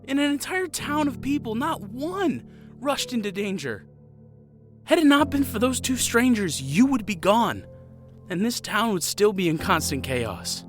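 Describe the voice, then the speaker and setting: A man acts an earnest young town guard recruit in a fantasy audio drama. His boyish delivery is animated and sincere, with some hesitance. Music sits under the voice. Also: extremely high pitch, unhurried, heavily acted. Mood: earnest, caring.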